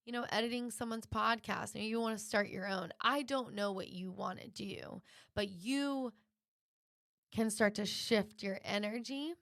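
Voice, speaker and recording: A woman's voice: medium pitch, vocal fry, fairly bright tone, dry recording, clean studio sound. A woman hosting a motivational self-help podcast alone, speaking in an encouraging way and repeating points for emphasis. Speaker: podcast host.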